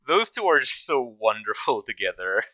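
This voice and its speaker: A man giving casual reaction commentary as a host, speaking haltingly and often repeating words and phrases. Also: mid-range voice, pushed and bright, dry recording, dull audio.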